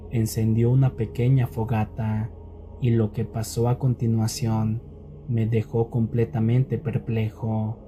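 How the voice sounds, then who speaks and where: A man reading scripted scary stories as a narrator, in a measured and deliberate storytelling manner. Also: on the low side, mellow.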